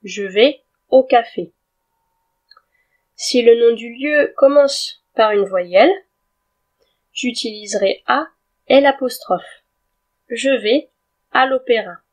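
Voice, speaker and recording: Woman teaching grammar, slightly high voice, slow and careful, spells stuff out letter by letter. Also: a little mellow. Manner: clear, deliberate, spells out words letter by letter. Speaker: French teacher. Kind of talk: slow scripted grammar lesson.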